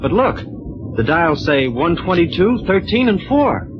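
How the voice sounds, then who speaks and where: An actor playing a steady, resourceful rocket pilot hero in a 1930s radio serial. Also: male voice, high voice, measured pace, background noise. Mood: puzzled, businesslike. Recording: muffled 1930s radio recording.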